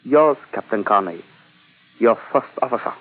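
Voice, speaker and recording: An actor playing a greedy, coldly menacing Chinese army colonel in an old radio drama, with a put-on Chinese accent and stilted, mock-courteous broken English. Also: male voice, mid-range voice, lively intonation, moderate pace, close to an everyday voice. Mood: mocking menace. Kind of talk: 1940s-50s radio drama acting. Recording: tinny old radio recording.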